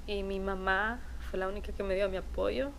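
A young woman in her twenties from El Salvador tells her story with earnest pauses and restarts, dropping in the odd English word. Her voice sits at an ordinary middle pitch. A faint hiss of noise runs under the recording. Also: little pitch movement, creaky, a bit bright. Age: 20s. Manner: earnest, halting storytelling mixing in English words. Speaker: interview guest. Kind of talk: personal interview.

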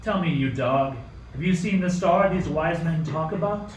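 A man's voice: a little high, moderate pace, close to an everyday voice. An amateur actor playing a stern, suspicious tyrant king in a radio play, with an imperious, declamatory delivery. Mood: commanding, scornful. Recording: roomy, distant mic, faint noise.

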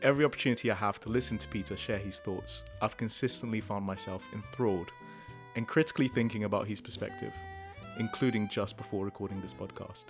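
A man's voice: fairly low pitch, fast talker, over music. A man hosting a podcast, reading an earnest, carefully worded introduction and then chatting with his guest. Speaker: podcast host. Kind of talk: scripted introduction then casual chat.

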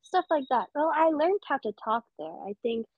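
A woman's voice: high-pitched, expressive, normal speed, no echo, highs cut off. A young woman with an American accent, a podcast guest chatting casually with lots of fillers and easy laughs. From United States. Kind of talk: casual interview chat.

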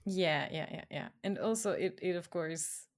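A woman's voice: fairly low pitch, moderate pace. A woman from Ecuador, probably in her thirties or forties, talking casually and expressively in an informal conversation.

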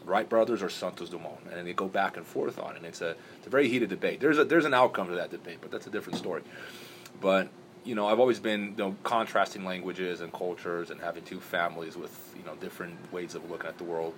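A man raised in Brazil talks quickly in a very deep voice, circling his points with restarts and fillers as an interview guest. There is clear background noise throughout the recording. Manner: rambling, many restarts and "you know". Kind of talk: casual interview talk.

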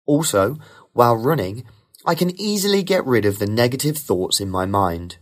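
A man reading rehearsed model answers for a speaking test in a smooth, even way. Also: medium pitch, normal speed. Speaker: sample test candidate.

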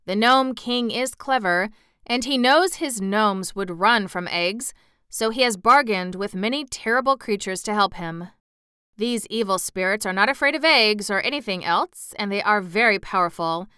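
A woman voicing a kindly, anxious emperor made of tin in a storybook, speaking formally and earnestly in a measured way. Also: mid-range voice, bright, forceful tone, clear, resonant tone, no room sound, studio quality, close to an everyday voice. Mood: grave, earnest. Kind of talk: storybook narration character voice.

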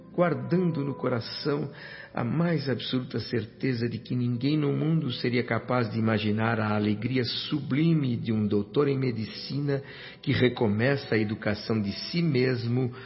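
Actor as an earnest doctor narrator, middling pitch. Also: male voice, natural voice. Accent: Brazilian. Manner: earnest, formal, reflective. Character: earnest male doctor spirit, humbled newcomer recounting his experiences. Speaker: actor and first-person narrator in a radio drama. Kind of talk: dramatic first-person narration, radio drama. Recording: dull lo-fi radio drama with music bed.

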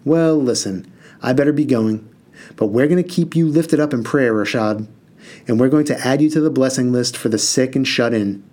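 A man's voice: mid-range voice, normal speed, a little mellow, close to an everyday voice. A man voicing a kindly middle-aged pastor who speaks in an earnest, preachy, rhythmic way in an audiobook.

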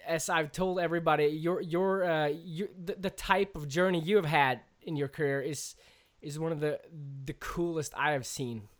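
A man hosting a podcast, asking questions in a halting way and stumbling over his words. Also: fairly high pitch, fairly even intonation. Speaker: podcast host. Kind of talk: casual interview chat.

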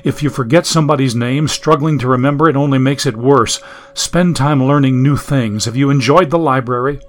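A man reading an audiobook, voicing a calm modern man who steadily reassures a frightened friend. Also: mid-range voice, a little fast, pitched below the performer's own voice, close to an everyday voice. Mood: reassuring. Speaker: audiobook narrator.

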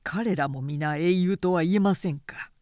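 A woman's voice: lowish voice, unhurried, a little mellow, no echo, clean studio sound, close to an everyday voice. A woman voicing a humble, cautious man in an audiobook, speaking politely in a subdued, deferential way. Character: humble, cautious man feigning timidity before a warlord.

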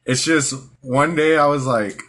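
A man's voice: medium pitch, a little slow, fairly bright tone. A man in his twenties, from the American Southwest, speaking as a podcast guest in a hesitant way, filling his talk with "like" and "you know.". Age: 20s. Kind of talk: casual chat.

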